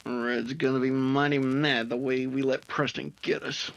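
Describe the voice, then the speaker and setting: A man's voice: mid-range voice, flat delivery, normal speed, vocal fry, a bit bright. A man playing a scheming, anxious outlaw henchman with folksy Western crook talk in an old-time radio drama. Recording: muffled, noisy home-recorded track.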